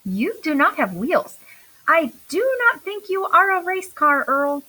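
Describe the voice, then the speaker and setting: A woman's voice: extremely high pitch, big pitch swings, unhurried, pushed and bright, pitched above the performer's own voice, exaggerated voice, poor audio. A woman voicing an earnest, literal-minded furry male pet animal in a children's storybook, speaking in a polite, careful, matter-of-fact manner. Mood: puzzled, reasoning.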